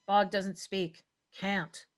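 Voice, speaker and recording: A woman with a medium-pitched voice reads scene headings and action lines. It is scripted narration for a table read. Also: level tone, drawn-out words. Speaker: narrator reading stage directions.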